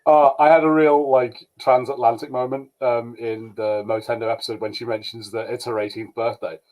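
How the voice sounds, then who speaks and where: A man co-hosting a casual pop-culture podcast, animated, jokey and sweary, slipping into mocking mimicry. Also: medium pitch, a little fast, rough recording. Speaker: podcast co-host.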